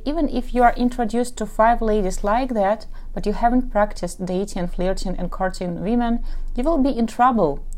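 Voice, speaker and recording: A woman's voice: medium pitch, darkish tone. A woman from Ukraine whose first language is Russian, speaking English with an accent, who works as a matchmaker and dating coach and gives advice in a podcast monologue. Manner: advice-giving, lists examples at length.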